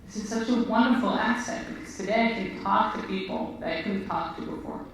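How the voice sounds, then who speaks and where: A woman's voice: mid-range voice, fairly quick, gravelly. A woman physician, telling her story earnestly and reflectively in a conversation. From Israel. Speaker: physician guest. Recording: echoey room, distant mic, faint noise.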